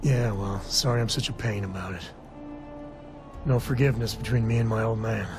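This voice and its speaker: An actor as guarded space cowboy over loud music. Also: male voice, lowish voice, fairly even intonation, normal speed, natural voice. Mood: reluctant, confiding. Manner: casual, reluctant, folksy. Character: guarded, weary space cowboy ranger, estranged son. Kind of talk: video game voice acting.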